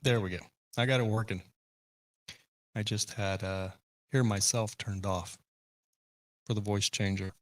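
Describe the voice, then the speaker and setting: A man's voice: fairly low pitch, steady tone, unhurried, long pauses, no room sound. A man hosting a live podcast stream, chatting casually and reading viewer comments. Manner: rambling, reads viewer comments. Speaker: podcast host. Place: home office.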